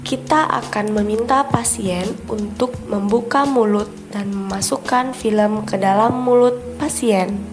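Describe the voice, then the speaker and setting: A woman's voice: medium pitch, measured pace. A young woman reading scripted instructional narration, one step after another. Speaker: student demonstrating dental radiography procedure. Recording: music clearly behind the voice. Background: background music.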